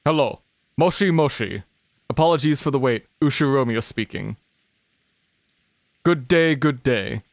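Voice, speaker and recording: A man's voice: medium pitch, animated, unhurried, gravelly, pauses often, no room sound. Man voicing a cocky businessman. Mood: breezy. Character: smooth, cocky middle-aged businessman. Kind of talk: fan livedub of a visual novel.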